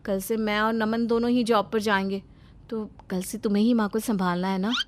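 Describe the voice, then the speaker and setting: A woman voicing an exasperated, sharp-tongued young working wife who speaks emphatically in a dramatized story. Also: mid-range voice, flat delivery, normal speed, a little hiss or hum, lightly acted. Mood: advising. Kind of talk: dramatized Hindi moral story.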